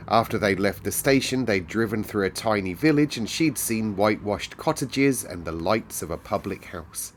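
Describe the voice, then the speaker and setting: A British man narrating a storybook aloud as a storyteller. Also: on the low side. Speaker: storyteller, host.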